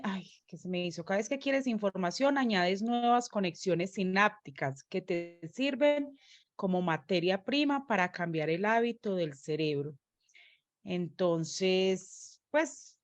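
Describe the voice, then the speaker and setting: A woman's voice: fairly low pitch, no echo, lo-fi sound. A woman in a reading group reading a favourite passage aloud and reflecting thoughtfully on it. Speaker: reading club participant.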